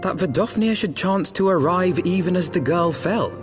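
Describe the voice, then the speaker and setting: A man's voice: high-pitched, moderate pace, lightly acted. An actor playing a courteous, earnest young man in a fantasy game, with formal, polite speech. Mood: pleased. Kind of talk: scripted fantasy game drama. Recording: game cutscene with music behind.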